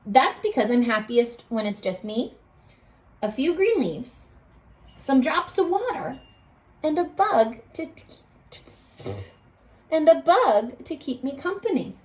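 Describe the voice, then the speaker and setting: A woman teacher reading a picture book aloud to young children, expressively, pausing to put questions to them. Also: high voice, low-quality recording. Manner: animated, addressing children with questions and pauses.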